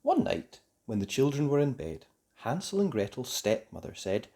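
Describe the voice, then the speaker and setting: A children's story reader narrating a fairy tale. The delivery is theatrical and emphatic, with very lively rises and falls in pitch. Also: moderate pace. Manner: theatrical, emphatic for young listeners. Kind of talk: expressive scripted storybook narration.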